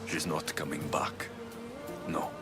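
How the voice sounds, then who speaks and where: Casting: assured male mercenary captain, direct, low register, clear game music underneath. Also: little pitch movement, takes it slowly, full character voice. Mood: gentle, sombre. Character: confident heroic male mercenary ship captain. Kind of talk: video game dialogue acting.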